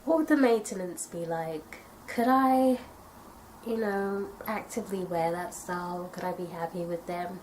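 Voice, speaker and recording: A young woman from the UK chatting casually to camera in a tag video, her nose stuffy from a cold and her voice a little raspy. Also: fairly low pitch, measured pace, darkish tone, slight background noise, rough recording. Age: young adult. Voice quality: slightly raspy. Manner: chatty, thoughtful, rambling with fillers. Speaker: vlogger.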